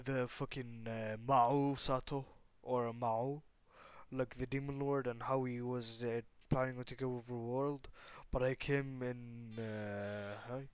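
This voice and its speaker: A man telling improvised fan fiction in a casual, rambling, halting way, with some swearing as he goes. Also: mid-range voice, smooth, no echo. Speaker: storyteller and host of a fan what-if channel. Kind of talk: casual improvised retelling of anime fan fiction with acted dialogue.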